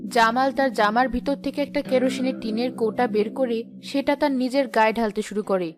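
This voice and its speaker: A woman's voice: fairly high pitch, brisk, music in the background. A woman narrating a scripted story.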